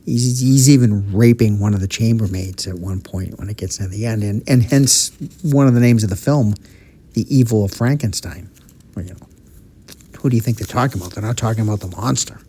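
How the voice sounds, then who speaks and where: A man's voice: fairly low pitch, fairly quick, dark tone, slightly rough. A man hosting a podcast, giving wry, opinionated commentary and teasing his co-host.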